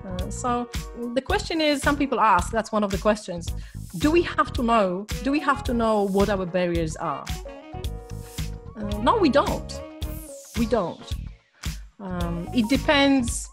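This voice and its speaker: A woman's voice: a little high, animated, unhurried, music in the background. A woman with a Polish accent, a language coach giving a hesitant motivational talk with many ums and restarts to her course members. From Poland.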